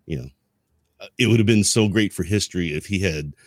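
A man with a notably low voice talks casually about history as a podcast co-host. His delivery is halting and rambling as he explains, and the sound is dry and close, with little room on it. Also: no room sound.

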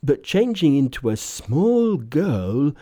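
A man's voice: on the high side, very animated, takes it slowly, a crowd in the background, voice pushed up. A man voicing a foolish, reluctant pig-man in a storybook reading, always complaining and protesting.

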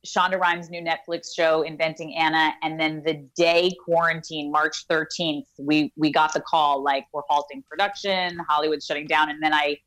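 A woman's voice: sits low, little pitch movement, moderate pace, hardly any pauses, a bit bright, rough recording. A woman in her thirties from Queens, talking casually and self-deprecatingly as an actress guest over a video call. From Queens, New York. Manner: chatty, self-deprecating, laughs often.

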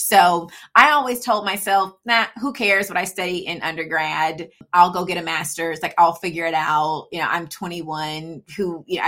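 A woman's voice: on the low side, bright, forceful tone. A woman in her thirties, a guest on an interview podcast, talking casually and saying "you know" often. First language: English. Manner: chatty, peppers speech with "you know". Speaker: podcast guest, supply chain consultant.

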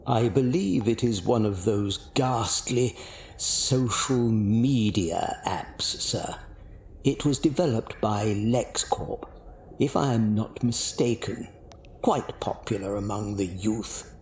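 A fussy older gentleman's butler acted by a man in an audio drama, with a creaky, gravelly voice. He talks slowly and formally with dry sarcasm, in a roomy echo. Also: fairly low pitch. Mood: dry, disdainful. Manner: formal, dryly sardonic. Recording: roomy echo, faint background noise.

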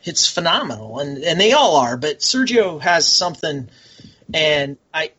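An enthusiastic man talking loosely in casual conversation. His voice is bright and somewhat high-pitched. The recording sounds dull and lo-fi. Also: big pitch swings, measured pace, breathy edge. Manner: chatty, rambling, enthusiastic. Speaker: guest, military veteran. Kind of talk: casual podcast chat.

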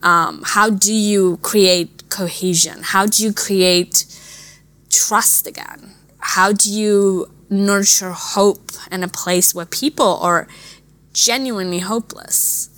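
A woman from Afghanistan, a native Dari speaker, giving earnest, reflective interview answers in a measured way with thoughtful pauses. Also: mid-range voice, steady tone, a bit bright, dry recording. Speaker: interview guest, refugee charity director.

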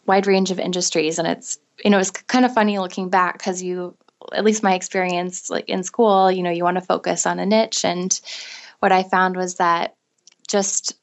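Soft-voiced woman in her 30s, lowish flat voice, chatty earnest guest. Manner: earnest, conversational storytelling with fillers. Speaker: guest entrepreneur. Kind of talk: interview guest.

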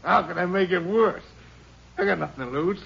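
A man's voice: high voice, normal speed, creaky, a bit bright, breathy edge, heavily acted. An actor playing a desperate, snarling male killer in a 1950s radio drama. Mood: threatening. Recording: muffled old radio recording with hiss.

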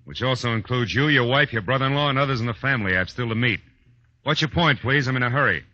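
An actor playing a tough, wisecracking private detective in a 1940s radio drama, sardonic, clipped and shrewd. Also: male voice, mid-range voice, fast talker, bright, forceful tone, dry recording. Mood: brisk, cool. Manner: sardonic, hard-boiled, clipped. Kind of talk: 1940s radio detective acting, first-person narration. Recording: dull, dry vintage 1940s radio recording.

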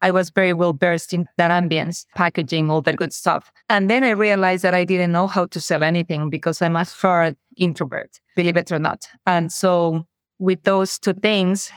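A woman's voice: fairly low pitch, steady tone, moderate pace, smooth, no room sound, studio quality. A woman from Mexico with a Mexican accent, a native Spanish speaker, telling her career story as a podcast guest in an earnest, reflective way. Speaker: guest, revenue operations director. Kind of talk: interview conversation.

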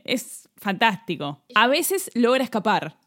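A woman's voice: on the high side, sing-song, a little slow, fairly bright tone. A woman with an Argentine accent co-hosting a true-crime podcast, telling a case in casual chat, laughing often and restarting her sentences. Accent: Argentine Spanish.

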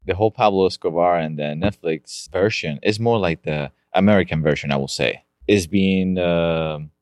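A man's voice: deep voice, a little mellow, no echo. A man from Colombia with a Colombian accent, speaking English as a second language in a casual interview, often restarting his sentences. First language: Spanish. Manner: halting, restarts sentences, non-native grammar. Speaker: guest, amateur bike racer.

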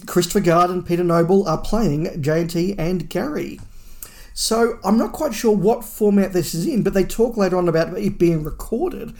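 A man's voice: sits high, normal speed, creaky, a little mellow. A man co-hosting a podcast, talking casually and musingly through fan news. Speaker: podcast co-host. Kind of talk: casual discussion of Doctor Who fandom news.

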